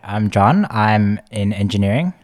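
A man's voice: fairly low pitch, lively intonation, a little slow, darkish tone. A man, chatting and joking as a podcast guest, giggling often. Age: 20s. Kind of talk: casual podcast chat.

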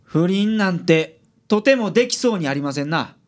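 Gruff, shrewd male private detective, played by an actor, Kansai accent, lively pitch swings, radio drama. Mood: composed, polite. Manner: blunt with colleagues, measured and polite with clients.

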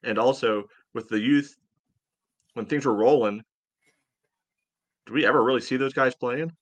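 A man co-hosting a sports podcast, chatting casually and thinking aloud in a halting, conversational way. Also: mid-range voice, fairly bright tone. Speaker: podcast host.

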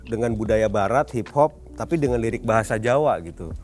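A man hosting a podcast, asking questions in a relaxed way and ending them with tag phrases. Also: lowish voice, brisk, faint music behind. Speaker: podcast host. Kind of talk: interviewing.

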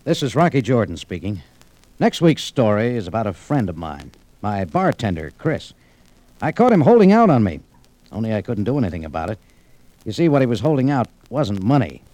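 An actor playing a tough, wry adventurer in an old radio detective drama, with punchy hardboiled delivery. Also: male voice, on the low side, big pitch swings, fairly quick, mellow, dry recording. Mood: confiding. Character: tough, wry male adventurer narrating his exploits. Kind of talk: 1940s-50s radio detective drama acting. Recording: slightly noisy old radio recording.

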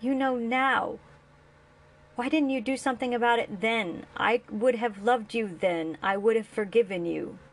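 A woman's voice: on the high side, measured pace, rough recording. A woman voicing a grown man, a wounded and bitter son confronting the father who abandoned him, pleading in an aching voice. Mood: hurt. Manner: pleading, rising to anguished shouting.

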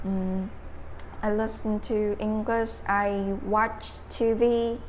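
A young woman with a Vietnamese accent talks through her answers at an unhurried, deliberate pace. She hunts for words, repeats herself and fills gaps with "um" and "like". A faint hum of room ambience sits behind her mid-pitched voice. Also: level tone, darkish tone. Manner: hesitant, searching for words, many fillers and restarts. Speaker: interviewee, English learner. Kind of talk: casual interview answers.

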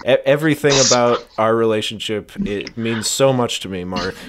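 A man co-hosting a comedy podcast, bantering in a halting way and repeating his words. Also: lowish voice, background noise. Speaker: podcast co-host.

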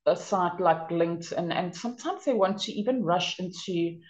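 A South African woman, a guest in a casual interview, giving earnest, energetic advice. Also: on the low side, normal speed, reverberant.

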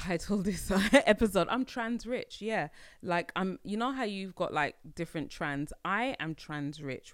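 A woman's voice: fairly low pitch, no room sound, studio quality. A young woman hosting a solo podcast, talking casually in a playful, slangy way, often laughing. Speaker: podcast host.

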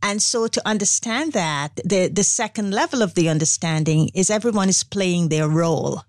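Calm measured woman, dry close sound. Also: lowish voice, no room sound. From Guyana. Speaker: interview guest, spiritual teacher. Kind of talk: unhurried interview talk.

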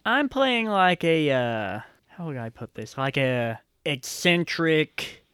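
A man's voice: on the high side, sing-song, slow, a bit bright, clean tone, no echo, studio quality. A man playing a tabletop role-playing game and chatting casually with the group.

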